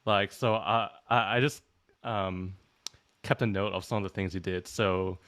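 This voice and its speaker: A man's voice: sits low, fairly even intonation, unhurried, a bit bright. A young man from Malaysia hosting a casual podcast interview, chatty and full of fillers. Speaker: podcast host.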